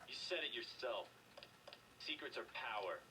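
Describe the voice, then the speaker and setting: An actor playing a stubborn, weary prisoner under interrogation in a video game, curt and resentful. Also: male voice, medium pitch, animated, moderate pace, recorded from a distance, noisy background, lightly acted.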